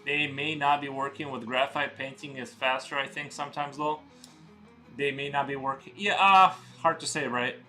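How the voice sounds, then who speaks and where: Guy rambling to his stream chat while drawing, bright voice, soft guitar music behind. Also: mid-range voice, moderate pace. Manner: rambling, relaxed, lots of fillers like "like" and "uh". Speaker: streamer and artist.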